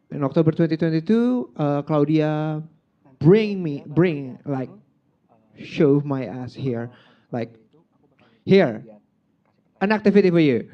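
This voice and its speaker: Chatty Indonesian man with a lively voice. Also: fairly high pitch. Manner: chatty and self-mocking, with many filler "like"s. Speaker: outgoing club president giving a speech. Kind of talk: informal speech at a club meeting. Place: meeting room, speaking on stage. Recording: dull, muffled lo-fi recording.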